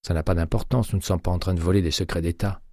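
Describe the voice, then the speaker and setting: A man reading an audiobook, voicing a determined, quick-thinking woman accomplice with a brisk, conspiratorial manner. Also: low voice, fairly even intonation, warm tone, dry recording, studio quality. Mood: reassuring.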